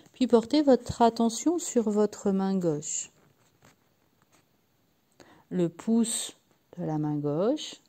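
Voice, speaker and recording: A woman leading a guided yoga nidra relaxation in a soft, sometimes breathy voice, soothing and unhurried, leaving long pauses between short phrases. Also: mid-range voice. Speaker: guided meditation and sophrology practitioner.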